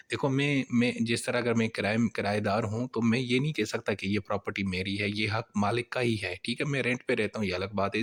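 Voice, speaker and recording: A man with a Pakistani accent giving an informal explanatory law lecture in a conversational, step-by-step way. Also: lowish voice. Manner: conversational, explains each point by rephrasing it.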